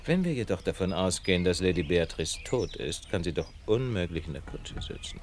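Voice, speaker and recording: A man in a radio play acting a shrewd, self-assured detective who lectures in a measured, wry, teasingly superior way. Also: deep voice, big pitch swings, a little hiss or hum. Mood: wry, scheming.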